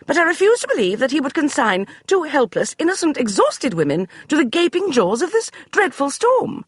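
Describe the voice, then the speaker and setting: A man voicing an imperious upper-class Regency lady with a cool, crisp, commanding voice that brooks no argument. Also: extremely high pitch, very animated, fairly quick, a bit bright, dry recording, pitched above the performer's own voice, exaggerated voice.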